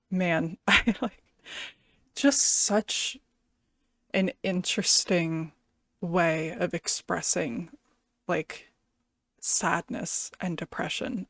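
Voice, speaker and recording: A woman's voice: fairly low pitch, no echo, studio quality. A woman giving casual, musing commentary as she reviews an album, sometimes searching for her words. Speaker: music reactor and reviewer. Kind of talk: casual album reaction commentary, some reading aloud.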